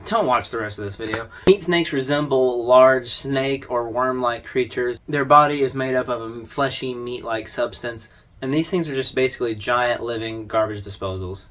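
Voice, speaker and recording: A man's voice: medium pitch, normal speed, a bit bright. A man hosting an informal explainer video, talking through his commentary in a conversational, wry way. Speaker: video host.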